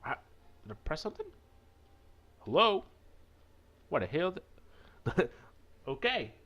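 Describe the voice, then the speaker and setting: A man playing through a visual novel for viewers, with a lively, swinging delivery. He reads the story aloud and drops into casual, crude, joking commentary peppered with swearing. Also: on the high side, slow pace. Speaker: let's play host.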